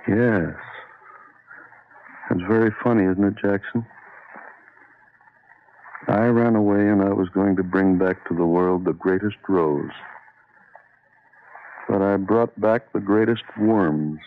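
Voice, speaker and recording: An actor playing an anguished, guilt-ridden man losing his mind, in a melodramatic, halting radio horror monologue. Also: lowish voice, steady tone. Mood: bitter, grieving. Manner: melodramatic, halting, haunted delivery. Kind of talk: 1940s-style radio horror monologue acting. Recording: muffled, noisy old radio recording.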